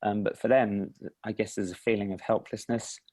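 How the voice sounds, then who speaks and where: A man with an Estuary English accent, speaking in a fairly low voice. He chats easily as an interview guest, self-deprecating and given to restarts. The sound is dry and close. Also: moderate pace, dry recording. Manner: relaxed, self-deprecating, with frequent restarts and laughs. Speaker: indie filmmaker and teacher, guest. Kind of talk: casual interview chat.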